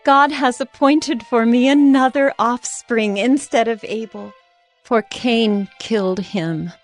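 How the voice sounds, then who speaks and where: A woman's voice: a little high, takes it slowly, soft background music. A woman playing a grateful, grieving mother, tender in manner, in dramatized scripture. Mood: bittersweet gratitude.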